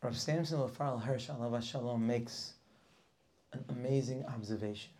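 A man's voice: medium pitch, moderate pace, a little mellow. A man teaching a weekly Torah class, preaching with passion, firing rhetorical questions and sometimes dropping to a near whisper.

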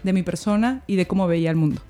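A young woman, a podcast guest speaking in a thoughtful, measured way and asking rhetorical questions. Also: fairly low pitch, dark tone, soft background music. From Peru. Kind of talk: reflective conversation.

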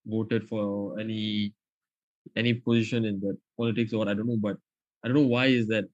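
Halting young Malaysian man, dry sound. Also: on the low side, no room sound, muffled recording. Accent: Malaysian English. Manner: halting, many restarts and fillers. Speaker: podcast guest, student. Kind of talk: casual podcast chat.

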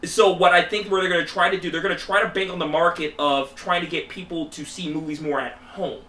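A man's voice: a little high, fairly even intonation, brisk, bright, forceful tone. A man co-hosting a podcast in casual, opinionated chat, often repeating words as he argues his point. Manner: hesitant, repeats words while arguing. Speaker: podcast co-host. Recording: roomy, distant mic, light background noise.

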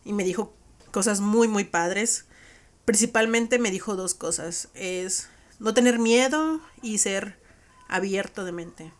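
A woman's voice: mid-range voice, slow pace. A woman with a Mexican accent hosting a podcast, recapping a conversation in a reflective, conversational way. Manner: reflective, conversational, frequent "¿no?" tags. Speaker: podcast host.

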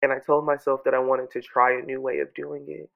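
A congested man journaling aloud at home into his microphone, speaking softly and earnestly, circling back and restarting his sentences as he reflects. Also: medium pitch, level tone, brisk, vocal fry, highs cut off. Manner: soft, earnest, musing with many restarts. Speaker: podcast host journaling aloud. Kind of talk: reflective personal audio journal. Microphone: own studio microphone.

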